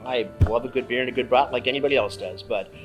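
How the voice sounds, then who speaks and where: A middle-aged man hosting a chat in the bar he owns, speaking in a measured way with dry humor. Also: mid-range voice, highs cut off, faint music behind. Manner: measured, dryly joking. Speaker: host and bar owner. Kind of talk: casual chat.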